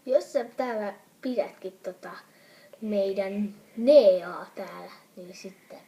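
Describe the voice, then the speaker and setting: A woman's voice: mid-range voice, mellow, natural voice. A woman voicing a laconic, coolly threatening teenage boy in a hobby anime comedy dub, terse and hesitant. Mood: cool, confrontational.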